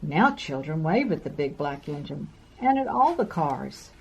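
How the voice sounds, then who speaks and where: A woman in her sixties reading a picture book to young children with deliberate, sing-song emphasis. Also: low voice, sing-song, vocal fry, breathy edge, muffled recording. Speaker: children's storyteller, host. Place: carpeted room at home.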